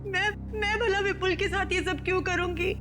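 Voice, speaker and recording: A woman's voice: very high voice, steady tone, normal speed. A woman playing a distraught accused suspect in a TV crime drama, pleading, defiant and melodramatic. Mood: shocked. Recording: loud dramatic background music.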